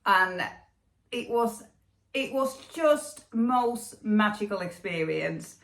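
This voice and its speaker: A woman's voice: mid-range voice, expressive, slow pace, fairly bright tone. Woman, middle-aged, casual chatty host, filler-heavy, echoey room. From Finland. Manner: chatty, with many fillers and restarts. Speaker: knitting podcast host. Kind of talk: casual chat to camera.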